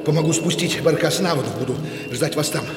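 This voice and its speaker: An actor playing an anxious, commanding, devoted musketeer in a radio drama, urgent and theatrical. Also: male voice, on the high side, quick pace, natural voice. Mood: hushed, planning. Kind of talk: dramatic radio play acting. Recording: echoey reverberant hall effect, loud music.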